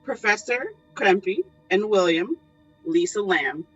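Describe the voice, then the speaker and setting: A woman's voice: medium pitch, lively intonation, slow pace, a bit bright, husky. A woman hosting, reading out a cast list as a curtain-call announcement. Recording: dull audio, faint music.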